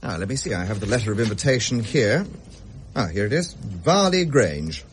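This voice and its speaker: A man's voice: lowish voice, sing-song, normal speed, a little hiss or hum. A man playing a roguish, jovial Victorian bachelor captain with an English accent and a jaunty, blustering manner in a period drama. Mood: amused, dismissive. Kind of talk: period radio drama acting.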